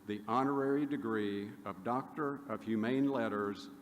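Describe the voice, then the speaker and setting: A man's voice: fairly low pitch, moderate pace. A man, a university official, formally reading a presentation at a ceremony podium. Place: large hall. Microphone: podium mic. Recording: echoey hall, faint noise.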